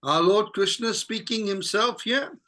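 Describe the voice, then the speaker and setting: A man with a bright, somewhat high voice leads an informal scripture study group. He talks conversationally, explaining verses and turning to others with questions. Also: a little slow. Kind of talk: casual scripture discussion.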